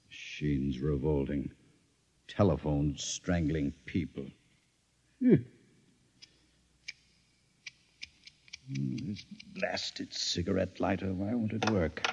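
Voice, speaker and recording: An actor playing a calm, soothing psychiatrist talking a patient down, in 1950s radio drama style. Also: male voice, sits low, a little slow, breathy edge, full character voice. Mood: musing. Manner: soothing, patient, gently coaxing. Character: calm middle-aged male psychiatrist, soothing. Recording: dull old radio broadcast.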